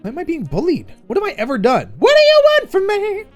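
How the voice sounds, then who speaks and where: A man hosting a casual stream chat, playful and quick to jump into high squeaky exclamations. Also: wildly swooping pitch, soft background music.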